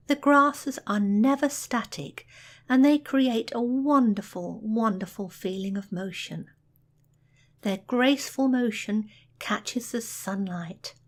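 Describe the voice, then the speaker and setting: A woman reading a scripted guided relaxation in a soothing, measured, soft delivery with pauses. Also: mid-range voice. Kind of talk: scripted guided meditation narration.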